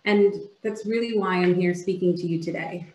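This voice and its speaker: A woman's voice: on the low side, fairly even intonation, normal speed, a little mellow, echoey room, low-quality recording. A woman from Ontario with a Canadian accent, giving a polished, upbeat keynote over a video call. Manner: polished, upbeat presenting with occasional fillers. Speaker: keynote speaker.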